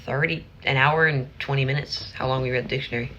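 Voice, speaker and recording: A hoarse teenage boy chatting casually at the end of a livestream, rambling and restarting his phrases. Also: mid-range voice, fairly even intonation, fast talker, pushed and bright, highs cut off, a little hiss or hum. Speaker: streamer.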